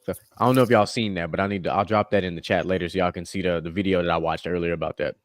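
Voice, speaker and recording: A man's voice: sits low, steady tone, very fast. A man hosting a podcast in loose, casual chat, swearing and laughing with his co-hosts. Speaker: podcast host.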